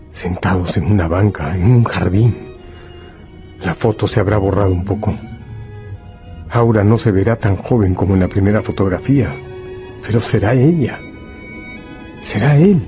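Radio drama narrator, male actor: low-voiced, brooding, ominous literary storyteller, deliberate, faint music underneath. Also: dark tone, natural voice. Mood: solemn, ominous. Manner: solemn, deliberate.

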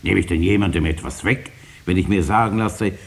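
A man's voice: sits low, a little fast, vocal fry, slightly rough, exaggerated voice. A man playing a stubborn, money-hungry farmer in a 1950s radio play, plain-spoken, hesitant and defensive. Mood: defiant. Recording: noisy vintage 1950s radio recording.

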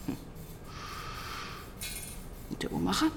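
An actress playing a sharp-tongued, impatient police inspector who narrates a radio crime drama in a brusque, sardonic manner. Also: female voice, slow, slight background noise, lightly acted. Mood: dry, troubled. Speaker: narrator and lead character.

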